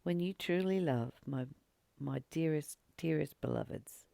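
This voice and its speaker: A woman giving a spiritual teaching, reading and commenting informally in an earnest, deliberate way, with frequent pauses and emphatic repetition. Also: deep voice, vocal fry, warm tone, no echo. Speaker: spiritual teacher and channel host.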